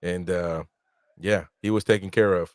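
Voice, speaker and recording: A man's voice: low-pitched, steady tone, moderate pace, dry recording, clean studio sound. A Peruvian-born man hosting a casual football watch-along live stream, chatting loosely and reading out viewer comments. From Peru. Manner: laid-back, chatty, reads out viewer comments.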